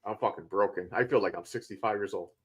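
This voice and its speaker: A man in his late thirties in casual chat, relaxed and self-deprecating. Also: lowish voice, fast, husky. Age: late 30s. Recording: dull lo-fi sound.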